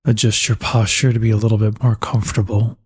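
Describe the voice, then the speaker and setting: Deep, husky man guiding meditation. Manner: calm, unhurried, soothing instruction. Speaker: meditation guide. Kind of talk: slow guided meditation narration.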